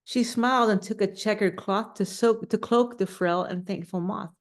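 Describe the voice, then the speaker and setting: A woman's voice: medium pitch, moderate pace. A woman reading a children's storybook aloud, adding casual, simple explanations and questions for young listeners. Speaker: storybook reader.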